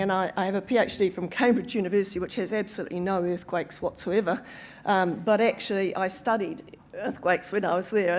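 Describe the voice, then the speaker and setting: A woman with a New Zealand accent speaks at an awards night into a lectern microphone. She talks loosely, with ums, restarts and wry asides. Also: mid-range voice. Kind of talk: after-dinner speech. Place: event hall.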